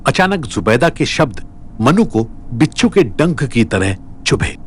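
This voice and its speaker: A man's voice: medium pitch, sing-song, unhurried, a little hiss or hum. A man narrating an audio story in a dramatic, scripted style.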